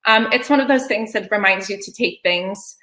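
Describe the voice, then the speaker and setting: A woman from Kosovo, Albanian her first language, hosts a video call in a bright, forward voice. She talks chattily, full of ums and restarts. The sound bounces around an echoey room. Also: medium pitch, moderate pace. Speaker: event host. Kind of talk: casual online meeting chat.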